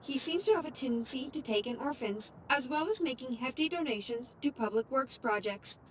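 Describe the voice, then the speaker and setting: High-voiced, composed female AI assistant, acted by a woman. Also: animated, a little fast, slight background noise, low-quality recording. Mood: calm, informative. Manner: precise, formal, matter-of-fact. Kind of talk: audio fiction dialogue.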